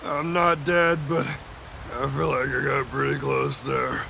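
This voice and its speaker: A man's voice: mid-range voice, fairly even intonation, measured pace, vocal fry, bright, forceful tone, a little hiss or hum, heavily acted. A man voicing a weary, nervous boy adventurer in a comedic cartoon parody. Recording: music behind the voice.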